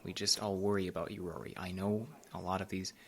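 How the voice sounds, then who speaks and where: A man playing a soft-spoken fatherly group leader. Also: low voice, flat delivery, fast talker, vocal fry. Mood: concerned. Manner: gentle and earnest. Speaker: support group facilitator.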